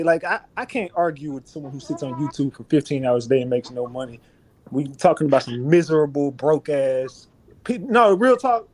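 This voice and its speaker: A man ranting animatedly in a casual group conversation. His voice sits fairly high, and he presses his points hard. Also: a little mellow. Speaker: panel guest.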